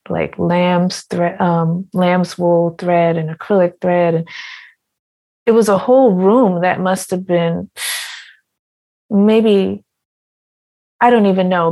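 Slow gravelly woman musing. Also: lowish voice, a little mellow. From partly Ghanaian heritage. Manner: slow, musing, with fillers and repeats. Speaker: quilt artist guest. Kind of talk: reflective interview storytelling.

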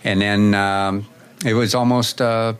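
Older man with a very low voice. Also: fairly even intonation, takes it slowly, clear, resonant tone. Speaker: host, running coach. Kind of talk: casual interview.

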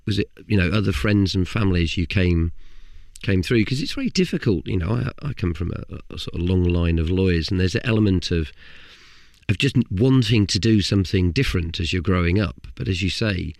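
A man's voice: low-pitched, expressive, creaky, a little mellow, no echo, studio quality. A man hosting a podcast, talking conversationally with frequent restarts. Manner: conversational, halting with repeated words. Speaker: podcast host. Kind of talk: casual interview chat.

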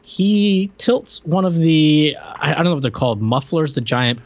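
A man's voice: on the high side, expressive, normal speed, lo-fi sound. A man co-hosting a podcast, chatting casually about a film and retelling scenes in slangy, incredulous fashion. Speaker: podcast co-host. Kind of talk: casual film-review chat.

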